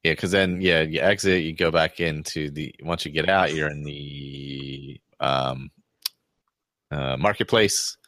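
A man's voice: extremely low pitch, unhurried, a bit bright, dry recording, clean studio sound. A man on a podcast panel chatting casually and informally as he recounts his experiences. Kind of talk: casual chat, retelling gameplay anecdotes.

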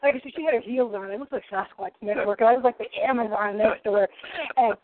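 A woman's voice: mid-range voice, fast, no echo. A woman in her forties with a Rhode Island accent, a guest cook chatting in a boisterous, rambling way. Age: 40s. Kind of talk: casual chat. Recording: tinny phone-like sound.

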